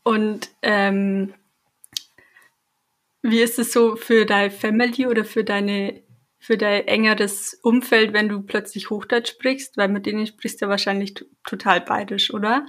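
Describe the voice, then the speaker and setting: A woman's voice: medium pitch, fairly even intonation, measured pace, a bit bright. Curious woman with a Bavarian accent. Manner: curious, asks follow-up questions. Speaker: interviewer. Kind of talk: casual conversation.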